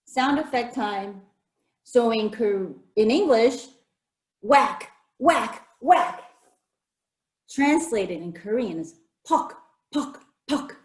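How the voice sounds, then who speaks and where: A woman's voice: fairly high pitch, expressive, slow pace, pauses often. A woman storyteller performing a folktale read-aloud for children, addressing listeners directly with questions and prompts to join in. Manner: interactive, asking listeners questions and inviting repetition. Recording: roomy, echoing sound.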